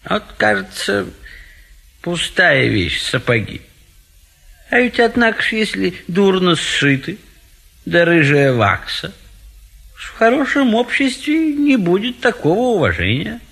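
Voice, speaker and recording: Comic stage bachelor: indecisive, ruminating gentleman, slow delivery with big melodic swings. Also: high-pitched, wildly swooping pitch, gravelly, husky, exaggerated voice. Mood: fretful musing. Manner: hesitant, ruminating, repeats himself. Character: fussy, indecisive gentleman bachelor pondering marriage. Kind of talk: theatrical Russian classic comedy audio play.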